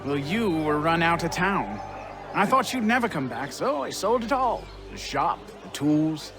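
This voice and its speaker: A man's voice: fairly high pitch, big pitch swings, a little slow, music in the background. A man playing a glib, shameless apprentice rogue in a video game cutscene, breezy and offhand.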